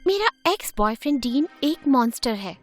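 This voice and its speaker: A woman voicing a scheming, popular teenage girl who talks in a pleading, persuasive way in an animated story. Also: high-pitched, normal speed, faint music behind.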